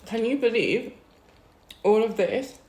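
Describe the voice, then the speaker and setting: A woman's voice: fairly high pitch, takes it slowly. Chatty young woman, echoey room. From Wales. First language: Chinese. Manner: chatty, savouring food with soft hums between remarks. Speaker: food vlogger. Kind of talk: casual eating vlog Q&A.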